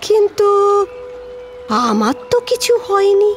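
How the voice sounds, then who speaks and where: Woman playing a high-voiced, motherly village maidservant. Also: sing-song, takes it slowly, faint music behind, exaggerated voice. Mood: confessing. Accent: rural West Bengal dialect. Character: older village maidservant on pilgrimage, tender-hearted, plain-spoken. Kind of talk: Bengali audio drama acting.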